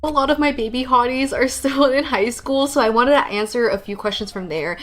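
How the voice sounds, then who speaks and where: A woman's voice: high-pitched, brisk, fairly bright tone. A woman chatting casually, vlog style. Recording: clip with faint background noise.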